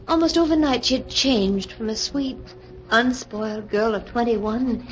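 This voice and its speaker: High-voiced anxious sister, old radio drama. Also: female voice. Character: anxious, gentle older sister narrating a suspense tale. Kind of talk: 1940s radio suspense acting and narration. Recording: dull, noisy 1940s radio recording.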